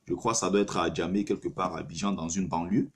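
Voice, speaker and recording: A man's voice: low voice, fairly even intonation, quick pace, slight background noise. A man hosting a live stream, giving opinionated commentary and arguing his points while speaking straight to his listeners. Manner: argumentative, rhetorical, addresses listener directly. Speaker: livestream host taking callers.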